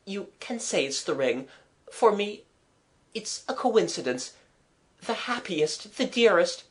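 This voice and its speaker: Lady reading as a heartfelt lovestruck gentleman, polite, earnest, old storybook style. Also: medium pitch, big pitch swings, takes it slowly, pitched above the performer's own voice. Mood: happy, heartfelt. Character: earnest English gentleman bailiff, quietly lovestruck.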